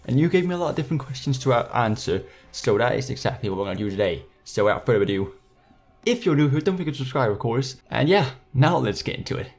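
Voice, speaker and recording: A man's voice: medium pitch, darkish tone. Casual Danish-accented teenage guy over music. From Denmark. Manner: jokey, slangy, playfully sarcastic. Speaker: YouTuber hosting a Q&A. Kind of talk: casual chat to viewers.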